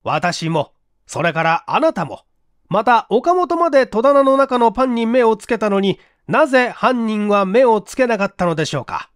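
Man as earnest police chief, high-pitched male voice, courteous, explanatory, dry sound, audiobook drama. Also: animated, no echo. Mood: reasoning. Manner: polite, measured, explanatory.

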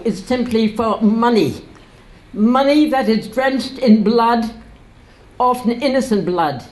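A woman's voice: fairly high pitch, darkish tone, rough recording. An older woman speaking at her book launch, chatty and wry, laughing between passages she reads aloud to a crowd in a bookshop. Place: indoor bookshop with a standing crowd. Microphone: stand mic at a lectern.

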